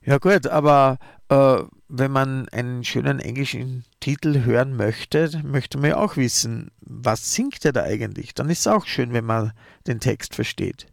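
A man's voice: mid-range voice, measured pace, gravelly, hardly any pauses, no room sound, studio quality. A man interviewing a singer, full of praise for her and often stammering and restarting his questions. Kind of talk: casual interview talk.

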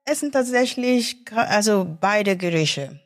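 A Nigerian woman whose first language is Efik, speaking in a Nigerian accent and often pausing to search for her German words as a filmmaker being interviewed. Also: mid-range voice, lively intonation, faint music behind. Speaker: guest filmmaker. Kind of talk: interview conversation.

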